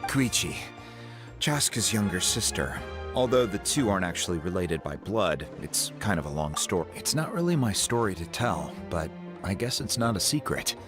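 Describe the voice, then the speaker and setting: Gruff game chief, deep voice, animated intonation, avuncular storyteller, music behind. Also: male voice, moderate pace. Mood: explaining. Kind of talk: video game voice acting.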